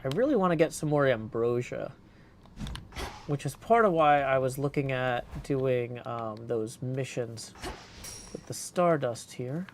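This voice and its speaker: A man's voice: mid-range voice, expressive, unhurried, slight background noise. A man casually talking through a game he is playing and reading bits of its text aloud. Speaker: streamer practicing game voice acting.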